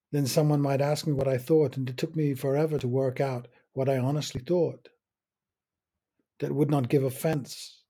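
A man, reading a slow, reflective personal monologue as a podcast host. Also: medium pitch, warm tone, slightly rough. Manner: measured, musing, with long pauses between short phrases.

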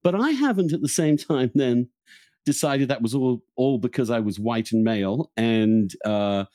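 A man with a soft, mellow voice hosts a thoughtful conversation, recorded very dry and close. He speaks haltingly, feeling his way through ideas and doubling back on words as he reflects. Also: medium pitch, lively intonation, no room sound. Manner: halting, searching, self-interrupting with repeated words. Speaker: podcast host.

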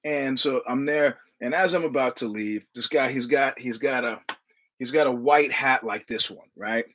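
A man's voice: medium pitch, moderate pace, a bit bright. A man, hosting his show and telling a profane, swaggering story while acting out the dialogue. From New York, Brooklyn. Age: 60s. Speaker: podcast host. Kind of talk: casual storytelling monologue.

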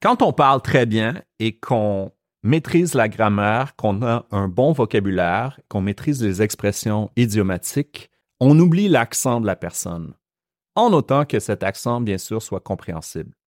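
A man with a Quebec French accent hosting a podcast lesson, explaining slowly and carefully. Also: lowish voice, expressive, dry recording, clean studio sound. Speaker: French teacher and podcast host.

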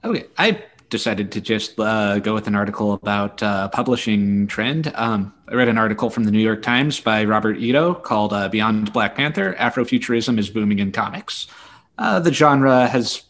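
A man co-hosting a podcast, discussing book news casually in a thoughtful, halting way with self-corrections. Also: on the low side, expressive, fairly bright tone. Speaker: podcast co-host.

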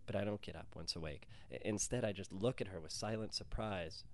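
A man's voice: low-pitched, fairly quick. A man reading his own first-person short story as scripted narration.

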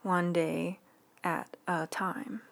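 Low-voiced woman reading softly, ASMR style. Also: little pitch movement, vocal fry. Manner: soft, unhurried, intimate delivery with audible breath and lip sounds. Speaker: writer reading own newsletter aloud. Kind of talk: ASMR read-along of a personal essay. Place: quiet room indoors. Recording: quiet room hiss, close breath sounds.

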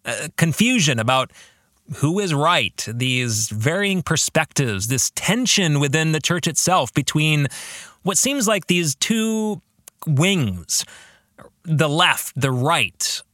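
Slow, animated man leading reflective talk. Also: on the high side, dry recording, studio quality. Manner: halting, deliberate phrasing with rhetorical questions. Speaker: podcast host and author. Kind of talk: reflective spoken book discussion, faith talk.

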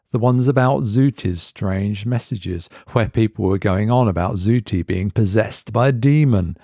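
A male narrator reads a scripted horror story with a low voice and a gravelly grain. His intonation moves a lot. The sound is dry and close, with no room around it. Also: dark tone, no echo. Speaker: narrator, reader of horror stories.

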